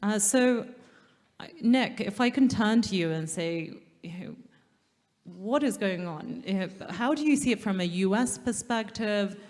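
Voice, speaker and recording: A woman moderating a conference panel in a lively, chatty way, rephrasing technical points for the audience. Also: medium pitch, measured pace. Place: large conference hall, stage. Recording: long hall reverb.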